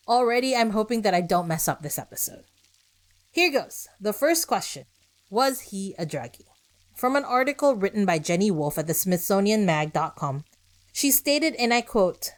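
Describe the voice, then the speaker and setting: A woman hosting a podcast, reading scripted narration with conversational asides, rhetorical questions and short emphatic phrases. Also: mid-range voice. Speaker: podcast host.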